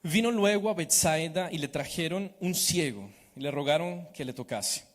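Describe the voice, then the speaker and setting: A man's voice: on the high side, lively intonation, normal speed, big-room sound. A man interpreting a sermon into Spanish phrase by phrase and reading scripture aloud in church. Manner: renders each phrase right after the preacher.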